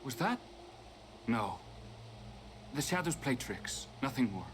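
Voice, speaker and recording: An actor voicing a wary man in a video game who senses doom approaching, speaking in a hushed, brooding way. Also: mid-range voice, sing-song, takes it slowly, background noise. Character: uneasy adult man sensing looming doom.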